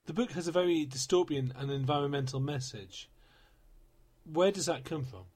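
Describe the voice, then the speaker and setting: A man with a fairly high-pitched voice hosts a book show. He reads short, formal, prepared questions to his guest. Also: normal speed, darkish tone, breathy edge. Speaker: interviewer, show host. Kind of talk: reading prepared interview questions.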